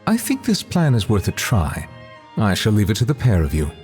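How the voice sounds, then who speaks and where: A male actor playing a dignified, grave gentleman in a game, speaking in a formal, stately way. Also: lowish voice, wildly swooping pitch, moderate pace. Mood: approving. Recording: reverberant, music behind the voice.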